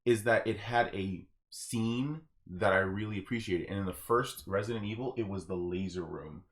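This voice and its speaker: Low-voiced man chatting on a podcast. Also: moderate pace. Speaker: podcast host. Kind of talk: casual chat.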